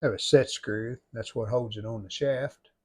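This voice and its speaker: A man giving casual how-to narration as a hobbyist restorer, plain-spoken and folksy, low-key, talking in short phrases. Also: fairly even intonation, normal speed, a little mellow, slightly rough. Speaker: hobbyist restorer demonstrating a repair.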